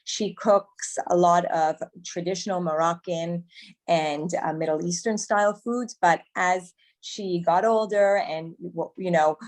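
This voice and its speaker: A woman's voice: fairly low pitch, steady tone, a little slow. A woman from Canada, a cooking teacher and author, giving conversational answers in an interview. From Montreal, Canada.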